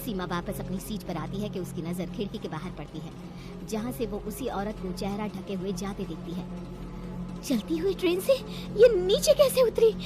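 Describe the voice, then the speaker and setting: A woman storyteller giving dramatic scripted narration of a horror story. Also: lowish voice.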